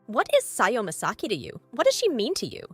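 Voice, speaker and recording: A woman playing a cool, poised woman in her twenties in an animated comic dub, composed, direct and probing. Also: a little high, brisk, breathy edge, full character voice. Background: background music.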